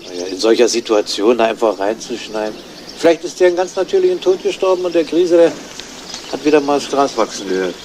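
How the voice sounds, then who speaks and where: A man playing a cautious, tactful police investigator in a 1970s radio crime drama, talking in a casual, measured way. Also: medium pitch, big pitch swings, a little mellow, slight background noise, lightly acted. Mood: doubtful.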